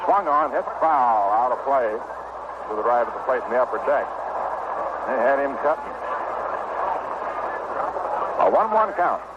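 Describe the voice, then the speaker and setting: A man calling baseball play-by-play on 1960s radio in terse, clipped phrases with pauses between pitches. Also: animated. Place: ballpark broadcast booth. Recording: noisy muffled vintage AM radio broadcast.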